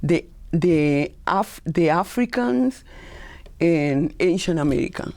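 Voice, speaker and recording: A woman's voice: on the low side, expressive, slow, a little mellow. A woman from Colombia with a Colombian Spanish accent, a Spanish speaker, telling her story as a talk show guest and often repeating words as she searches for phrasing.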